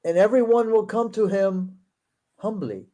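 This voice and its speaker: A man who speaks English as a second language, with Portuguese as his first, and a fairly high but mellow voice. He reads text slowly and breaks in with sarcastic asides. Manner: reads verses aloud slowly, then comments in a quick, sarcastic tone. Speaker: show host. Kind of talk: casual critical discussion.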